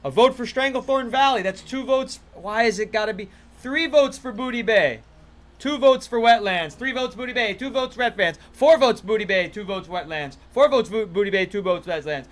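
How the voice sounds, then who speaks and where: A man's voice: sits high, animated, normal speed. A man casually chatting on a gaming livestream, playful and talkative, thanking viewers as he plays. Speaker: livestream gamer.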